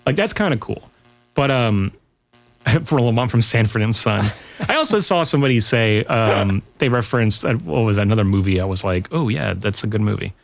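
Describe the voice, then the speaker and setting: A man's voice: fairly low pitch, lively intonation, a little fast, creaky. A man talking casually as a podcast guest, in an informal way with rambling asides. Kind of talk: casual pop culture chat.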